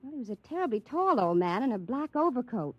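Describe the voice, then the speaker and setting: An actress playing a sweet, flustered young secretary in a 1950s radio drama, breathless and earnest. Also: female voice, a little high, sing-song, fast, dry recording, dull audio. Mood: worried.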